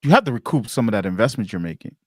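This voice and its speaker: A man hosting a casual conversational interview, talking in a halting way as he rephrases his thoughts. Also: fairly low pitch, big pitch swings, no echo, clean studio sound. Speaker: podcast interviewer and editor.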